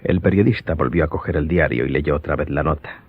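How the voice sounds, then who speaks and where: A man's voice: a bass voice, fast, breathy edge. A man reading scripted narration for an audio drama. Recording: slightly dull sound, music behind.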